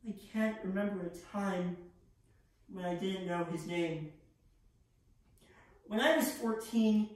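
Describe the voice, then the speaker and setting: A woman's voice: medium pitch, darkish tone. A teenage woman playing a guilt-ridden, bitter old male Italian composer, theatrical, pleading and declaiming. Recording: echoey room, distant microphone.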